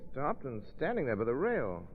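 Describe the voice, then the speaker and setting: An actor playing a suave, observant gentleman sleuth with a light teasing air in a 1930s radio drama. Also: mid-range voice, wildly swooping pitch, moderate pace, slight background noise. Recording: dull, lo-fi 1930s radio recording.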